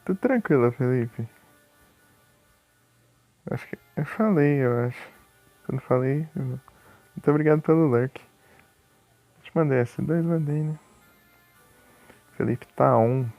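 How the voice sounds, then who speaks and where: A Brazilian man streams a video game with informal, chatty commentary, thinking aloud as he plays. His voice sits at an average male pitch. The room adds some echo, and faint game music plays underneath. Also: measured pace, gravelly, pauses often, a little mellow. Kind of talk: casual live gameplay commentary.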